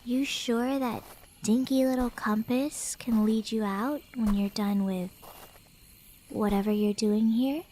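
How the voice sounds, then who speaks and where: High-pitched, playful catgirl. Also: fairly even intonation, mellow, slight background noise. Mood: pleading. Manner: coy, teasing, with drawn-out breathy whispers. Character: playful, teasing, clingy female Cheshire cat beastkin. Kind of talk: flirty ASMR roleplay acting.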